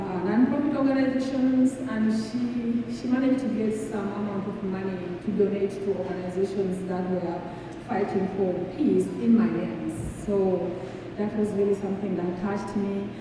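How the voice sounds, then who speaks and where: A woman from Uganda with a Ugandan accent, giving a halting, informal eulogy in a church hall. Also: medium pitch, steady tone. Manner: halting, searching for words. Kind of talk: eulogy, informal personal tribute. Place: church hall, speaking to a gathering. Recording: echoey hall from far off, noisy.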